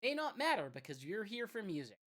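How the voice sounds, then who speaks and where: A woman's voice: mid-range voice, sing-song, fairly quick, fairly bright tone. A woman hosting a casual livestream, half talking to herself as she works through things and half chatting to viewers. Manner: muttering to self while troubleshooting. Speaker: livestream host.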